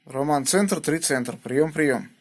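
A man's voice: a little high, slow, slightly rough. A man working as an amateur radio operator, talking casually on the air and using call signs, recorded on a phone indoors. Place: small indoor room at a home radio station. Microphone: phone held near the radio.

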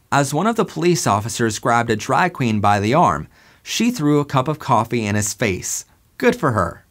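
A young man delivers a scripted history lesson as the host of his show. His voice sits at a middle pitch, and he talks earnestly, with an animated, gesturing energy. He was recorded on an off-camera mic in a small studio. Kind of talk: scripted educational history segment. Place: indoor studio before a flag backdrop.

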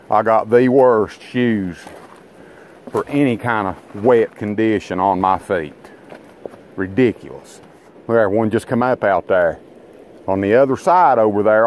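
A man's voice: lowish voice, darkish tone. A man giving rambling, folksy commentary to his viewers while fishing from a rocky riverbank, recorded on a body-worn camera. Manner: folksy, rambling, talks to viewers as guys and boys. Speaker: fishing vlogger. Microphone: body-worn action camera.

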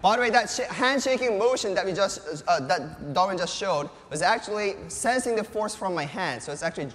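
A man's voice: sits high, fairly quick, a little hiss or hum. An enthusiastic man in his thirties giving a live keynote tech demonstration as a presenter on a large stage. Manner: enthusiastic, animated showman. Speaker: presenter, robotics researcher. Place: large conference stage, indoors. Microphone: lapel mic. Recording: echoey hall with long reverb.

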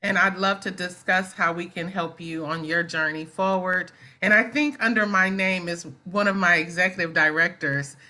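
A woman's voice: lowish voice, fairly even intonation, moderate pace, hardly any pauses, sharp tone. A woman moderating an online discussion, encouraging and inviting as host. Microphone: video call.

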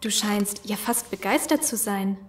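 A woman's voice: medium pitch, measured pace, lightly acted. A woman playing a naive, uneasy survivor in a German audio drama. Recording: echoing reverberant hall.

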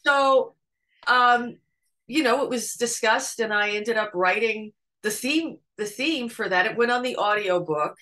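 A middle-aged woman singer-songwriter, a guest telling stories in a casual video-call interview, animated with a deep voice. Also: a little slow. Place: home room with red armchair.

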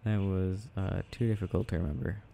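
A young adult man rambling softly and casually in a quiet, sleepy murmur as he plays a game, in an ASMR style. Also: low voice, steady tone, a little fast, creaky. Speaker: audio roleplay creator. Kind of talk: soft casual rambling while gaming, ASMR sleep aid. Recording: faint background noise.

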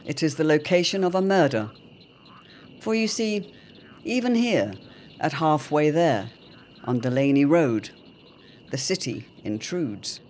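A man narrating an audio drama serial in a measured, portentous, dramatic storytelling style. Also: fairly high pitch, very animated, a little mellow. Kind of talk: dramatic scripted narration, old radio serial. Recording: clear background ambient noise.

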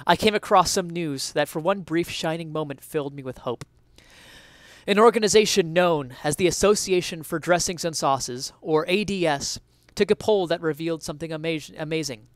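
Young male streamer, higher-voiced, theatrical and mock-indignant essay reading, dry close headset sound. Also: moderate pace, no room sound. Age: young adult. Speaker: streamer reading an article aloud. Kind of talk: dramatic reading of an opinion essay. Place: small indoor room at home.

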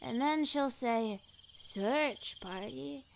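A woman's voice: high-pitched, drawn-out words, creaky, slight background noise, full character voice. A woman voicing a prim, easily panicked young heroine in a comic dub, fussy and theatrical, with exclamations. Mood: dry, tired.

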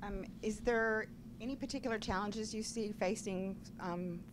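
A woman reporter asking halting, hesitant questions from the room at a press conference. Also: mid-range voice, fairly even intonation, background noise.

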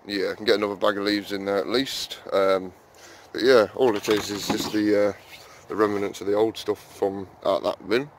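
Rambling low-voiced man vlogging his allotment. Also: a little hiss or hum. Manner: rambling, chatty, many fillers, keeps calling viewers guys. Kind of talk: casual walk-and-talk vlog. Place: outdoor allotment, then small garden shed.

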